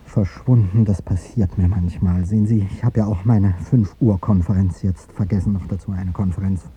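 A man's voice: low voice, steady tone, normal speed, warm tone, slight background noise, lightly acted. An actor playing an aging, dignified president who hides his heartbreak, speaking with hesitant, formal politeness in a radio drama. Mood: flustered, evasive. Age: older. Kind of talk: 1950s German radio drama acting. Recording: dull 1950s radio recording with music.

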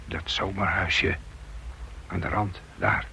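An actor playing a watchful scout who reports in hushed, terse remarks in a radio play. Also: male voice, low-pitched, fairly even intonation, slow pace, gravelly, slight background noise, exaggerated voice. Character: male scout soldier, watchful, quietly reporting. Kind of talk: Dutch radio play acting.

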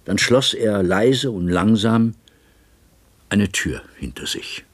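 Husky, sober male witness narrating. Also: fairly low pitch. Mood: tense, restrained. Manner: measured, restrained, suspenseful. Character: sober, watchful male colleague recounting tense events. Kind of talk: radio play narration in German.

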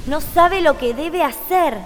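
A woman playing a gentle, solemn young empress of a fantasy realm in a radio drama. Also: sits high, slow pace, a little hiss or hum. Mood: pleading, urgent. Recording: long hall reverb on studio recording.

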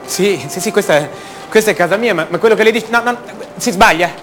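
A man's voice: high voice, a bit bright, a little hiss or hum. An actor playing a restless, incredulous ordinary man confiding his doubts in a conversational radio theatre monologue. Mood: incredulous, flustered. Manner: conversational, halting repetitions.

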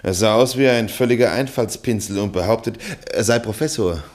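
A man's voice: on the low side, brisk, a little mellow, lightly acted. An actor playing a matter-of-fact subordinate newspaper man in a German radio drama. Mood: puzzled, reporting. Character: subordinate newspaper man reporting to his boss.

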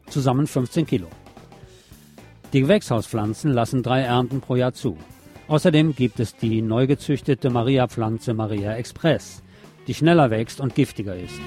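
Guy reading a news report, soft mellow voice, bit wry, faint music behind him. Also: medium pitch. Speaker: radio news presenter. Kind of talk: scripted news magazine narration.

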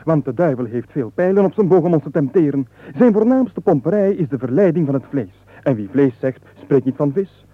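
An actor with a Flemish accent playing a penniless, endlessly babbling rogue who rambles pedantically in a radio comedy. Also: male voice, fairly high pitch, animated, a little fast, few pauses. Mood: pedantic, eager. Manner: rambling, pedantic wordplay and digressions. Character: penniless, nonstop-babbling male rogue angling for money. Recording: muffled, phone-like old radio recording.

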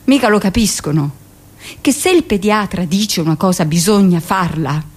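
An actress playing a brusque, weary middle-aged hospital midwife in a colloquial, scornful radio monologue. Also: female voice, fairly low pitch, lively intonation, normal speed. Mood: scornful, exasperated. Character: brusque, weary 45-year-old hospital midwife, dismissive and self-justifying.

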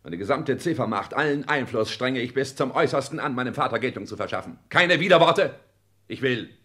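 A man's voice: mid-range voice, expressive, a little fast, pushed and bright. An actor in a 1960s German radio drama playing an arrogant, ambitious self-made man with a declamatory, commanding delivery. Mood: vehement. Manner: declamatory, haughty, clipped commands. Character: arrogant, ambitious self-made rich son, cold and imperious.

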